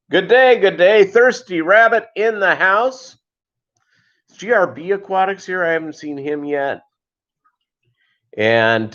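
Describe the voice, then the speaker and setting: A man's voice: mid-range voice, fairly bright tone, muffled recording. A man hosting a casual livestream, rambling along as he reads out and answers viewer comments. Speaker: livestream host of a fishkeeping channel. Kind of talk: casual live chat with viewers.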